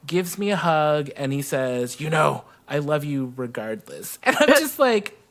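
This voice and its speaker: A man's voice: fairly high pitch, sing-song, measured pace, a bit bright. Middle-aged American man telling personal stories. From South Texas, USA. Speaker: guest co-host. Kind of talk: conversational personal storytelling.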